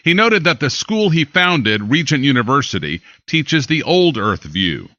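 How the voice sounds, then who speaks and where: An American man reading scripted news as an anchor, with an emphatic, deliberate newsreader delivery. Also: mid-range voice, very animated, pushed and bright, dry recording, muffled recording.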